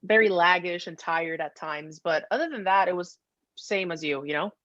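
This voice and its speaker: A woman's voice: on the low side, normal speed, fairly bright tone, no room sound. A woman with an American accent hosting a podcast in casual chat. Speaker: podcast host. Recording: dry, dull lo-fi sound.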